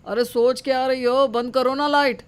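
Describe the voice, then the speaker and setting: A woman's voice: sits high, steady tone, normal speed, a little hiss or hum, natural voice. A woman voicing a sleepy, impatient husband in a moral story, with a demanding manner. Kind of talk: animated moral storybook acting.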